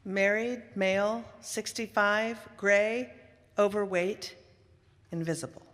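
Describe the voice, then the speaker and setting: A woman's voice: medium pitch, fairly even intonation, slow pace, a bit bright. A woman reciting short scripted personal statements in a reverberant hall.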